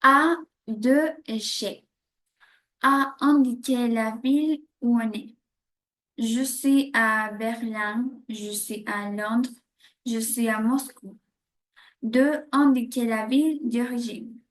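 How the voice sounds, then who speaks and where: A woman giving a scripted French grammar explanation with measured example sentences. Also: a little high, steady tone, a bit bright. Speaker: French teacher.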